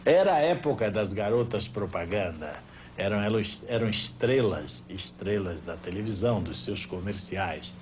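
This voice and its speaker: An older Brazilian man reminiscing in an unscripted interview, with animated, emphatic storytelling. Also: on the low side, normal speed, slight background noise. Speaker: former television director recalling his career.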